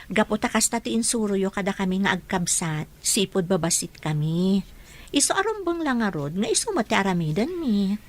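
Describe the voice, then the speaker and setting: A woman's voice: mid-range voice, big pitch swings, fairly quick. A young woman playing a polite, dutiful daughter in a radio drama.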